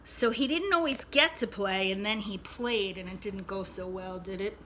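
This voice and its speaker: A woman reading a picture book aloud to children like a teacher, expressively, pausing to explain and check in with her young listeners. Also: mid-range voice, noisy background. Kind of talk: read-aloud storytelling with asides to young listeners.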